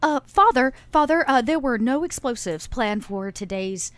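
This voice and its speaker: A woman takes her turn as a player in a tabletop game. Her voice is on the high side and recorded dry. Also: lively intonation, moderate pace, fairly bright tone, dry recording.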